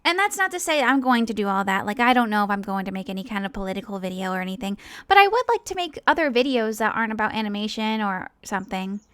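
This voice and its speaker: A woman's voice: mid-range voice, expressive, quick pace, few pauses, a bit bright, clear, resonant tone, dry recording. A woman giving a casual, rambling personal monologue as an online creator, speaking informally and earnestly, often hesitating and interrupting herself. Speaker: online animator and video creator.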